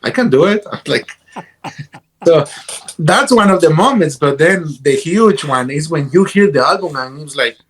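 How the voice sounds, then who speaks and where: A man from Guatemala with a Guatemalan Spanish accent tells stories casually as an interview guest, in a halting way, repeating words as he goes. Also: a little high, animated, a little hiss or hum, rough recording. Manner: halting, repeats words, peppered with "you know". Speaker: interview guest, guitarist and music teacher. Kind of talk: casual conversational storytelling.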